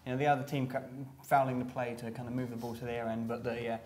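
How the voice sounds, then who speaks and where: Guest: British man, 30s, rapid chatty fan energy. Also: mid-range voice, flat delivery, a little mellow. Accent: British English. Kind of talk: casual post-match chat. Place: small indoor room before a sponsor backdrop.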